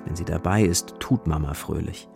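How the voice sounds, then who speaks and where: A man narrating a children's story from a script in short, dramatic phrases, with a creaky voice. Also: low voice, normal speed, music in the background.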